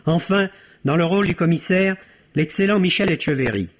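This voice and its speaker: A man's voice: high-pitched, expressive, fairly quick. A man delivering a scripted film review in a formal, literary, rhetorical manner, as on 1960s French radio. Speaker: film critic on a radio program. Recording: dull, lo-fi vintage radio recording.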